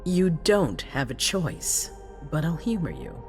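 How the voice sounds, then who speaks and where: A commanding female vampire leader in a dramatic video game. Her voice sits low but moves widely in pitch. She is cold, ruthless and imperious, with music playing underneath. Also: huge pitch swings, takes it slowly, darkish tone, heavily acted. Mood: cold, stern. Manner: imperious, unsentimental, authoritative.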